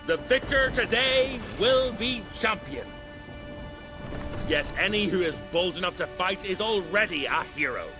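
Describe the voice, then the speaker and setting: Animated, proud island arena master. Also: male voice, sits high, unhurried. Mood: solemn, proud. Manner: ceremonial, archaic turns of phrase. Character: proud island arena master, solemn keeper of fighting tradition. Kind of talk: video game dialogue. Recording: music behind the voice. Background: game music.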